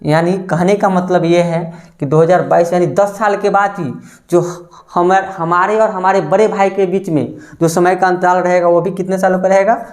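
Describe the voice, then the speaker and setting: A man's voice: sits high, fairly even intonation, moderate pace, darkish tone. A man teaching a maths lesson for exam preparation. He calls his listeners friends, asks the class a question and then answers it himself, repeating the answer.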